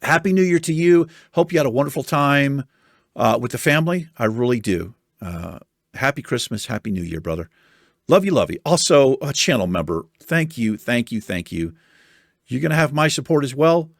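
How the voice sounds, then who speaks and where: A chatty American man with a soothing voice reads viewer comments in a lively, swinging way. He swears casually and talks like he's among friends. The sound is dry and close, with no room echo. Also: medium pitch, moderate pace. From United States. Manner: animated, chatty, swears casually, laughs often. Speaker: YouTube host reading viewer comments. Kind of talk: casual chat.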